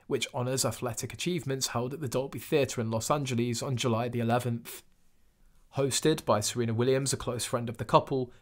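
A man reads a scripted news piece. His delivery stays even and uninflected throughout, and his pitch sits in a plain middle register. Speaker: narrator.

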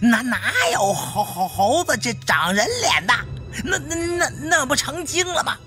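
A man voicing a rough, panicky male adventurer with a heavy stutter, blurting and repeating his words in an audiobook story. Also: very high voice, lively intonation, a little slow, pushed and bright, soft background music, pitched above the performer's own voice, full character voice. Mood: baffled, incredulous. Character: Lao Yang, stuttering, rough, panicky male tomb-raider buddy.

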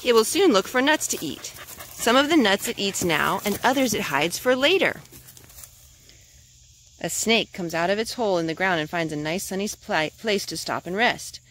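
A woman's voice: mid-range voice, expressive, normal speed, fairly bright tone. A woman, a mother reading a picture book outdoors and chatting to her young child in a child-directed way. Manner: sing-song, child-directed. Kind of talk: informal read-aloud and chat with a young child. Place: outdoors in a forest. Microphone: handheld camera held by the speaker. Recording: clearly noisy outdoor handheld recording.

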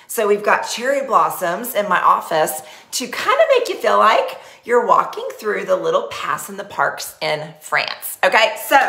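A woman's voice: medium pitch, gravelly, sharp tone, reverberant. Bubbly Texan woman hosting a live party. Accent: Texas. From Texas. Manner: bubbly, chatty, talks with her hands. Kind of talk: live-streamed sales party. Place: small decorated home office. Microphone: webcam at desk.

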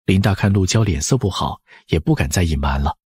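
A man's voice: deep voice, animated, fairly quick, a little mellow, husky, no echo, studio quality. A man narrating a novel as an audiobook, scripted and dramatic.

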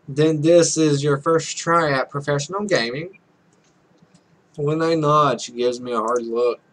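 Young male let's player, bright and slightly high voice, casually reads visual novel text with stumbles and offhand swearing. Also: poor audio. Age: young adult. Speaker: let's play host. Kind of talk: reading a visual novel aloud with casual commentary.

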